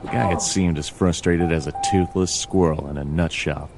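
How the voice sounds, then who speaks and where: A man voicing a world-weary, wry animal detective narrating a noir video game in a dry, deadpan way. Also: low-pitched, moderate pace, background noise.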